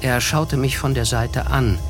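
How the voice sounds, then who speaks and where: An actor playing a wry, skeptical young man who narrates a radio play in a measured, dryly ironic, literary style. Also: mid-range voice, fairly even intonation, music in the background, close to an everyday voice. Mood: wry doubt.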